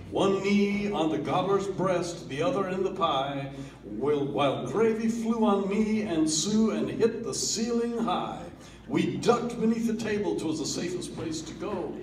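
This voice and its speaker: Man reciting a poem slowly, from afar. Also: fairly high pitch, background noise. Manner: slow, theatrical delivery with lively swells and hushed drops. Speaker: family member reading a poem aloud. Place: family holiday gathering in a room. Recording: roomy, distant home video.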